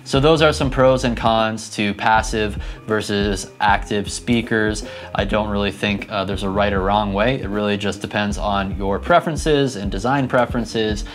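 A man's voice: lowish voice, moderate pace, faint music behind. A man in his thirties giving a conversational, practical tutorial to camera, speaking into a lapel mic. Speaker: church audio instructor. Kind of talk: explanatory tutorial talk to camera. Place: indoors, equipment room and church hall. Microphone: clip-on lapel mic.

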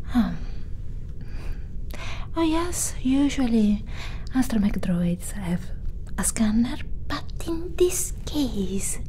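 A woman whispering softly in an ASMR roleplay, soothing and reassuring, with quiet giggles. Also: a little high, slight background noise.

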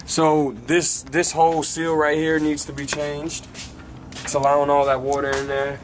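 A man's voice: on the high side, moderate pace, noisy background. A young man vlogging outdoors, casually walking viewers through a car he is inspecting, with lots of ums. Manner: relaxed, chatty, lots of ums. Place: outdoors in a driveway.

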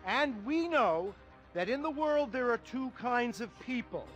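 A rich, ruthless mogul lectures in a high, theatrical voice full of contempt. He sounds cynical and self-important, like a villain in a satirical game movie, with music behind him. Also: animated, a little slow, fairly bright tone, exaggerated voice, low-quality recording. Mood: grandiose, scornful. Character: rich, ruthless, cynical male finance tycoon mentor. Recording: music under the dialogue.

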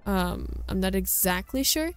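A woman's voice: mid-range voice, animated, a little slow, faint music behind. A woman hosting a gaming video, giving a chatty, enthusiastic, casual walkthrough commentary.

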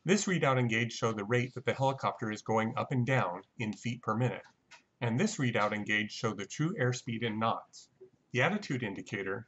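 A man giving a measured, matter-of-fact scripted technical narration as an explainer. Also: mid-range voice, a bit bright, dull audio. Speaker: narrator of a flight reconstruction.

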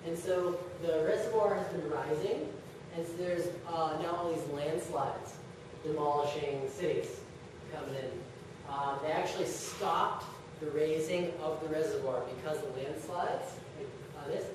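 Wry young man lecturing in an echoey hall. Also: on the high side. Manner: loose, filler-heavy, wry and joking. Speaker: presenter giving a slideshow talk. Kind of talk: casual lecture. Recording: echoey hall from far off, background noise.

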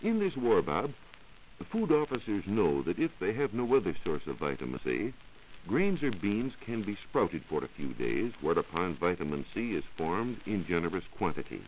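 An American man giving a scripted, measured science talk as a reporter on a 1940s radio show. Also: low voice, big pitch swings. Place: radio studio. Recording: muffled 1940s radio recording with hiss.

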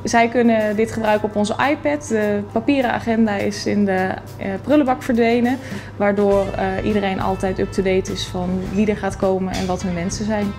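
A woman's voice: mid-range voice, fairly even intonation, normal speed, a little mellow. A woman in her thirties, a restaurant owner, explaining matters in a tidy way with occasional hesitations in a promotional interview. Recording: music bed playing underneath.

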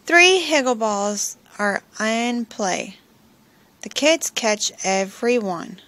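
A woman reading a children's picture book aloud in simple, expressive phrases for young listeners, recorded at home on a phone. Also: a little high, slow. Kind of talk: children's storybook read-aloud. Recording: noisy home recording with clicks.

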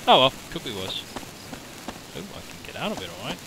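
Excitable Danish man gaming with a friend. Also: mid-range voice, fairly quick. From Denmark. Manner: excitable, swears and self-mocking. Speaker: modder playing with a friend. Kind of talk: casual gaming chat. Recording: noisy game audio behind voice.

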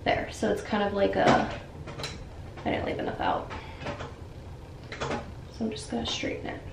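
A young woman chats to her camera at an unhurried pace, full of little ums and likes. She sits at a middle pitch, and the room around her rings with echo. A faint hiss stays underneath. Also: gravelly. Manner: chatty, relaxed, many fillers. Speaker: lifestyle vlogger. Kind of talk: casual vlog talk to camera. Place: home bathroom and kitchen, indoors. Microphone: camera mic at arm's length or on a stand. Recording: echoey room, faint background noise.

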